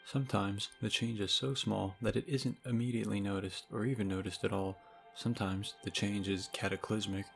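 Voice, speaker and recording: A man narrating a scripted video game review in a sardonic, casually profane way, recorded on a low-grade microphone. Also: gravelly. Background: game music.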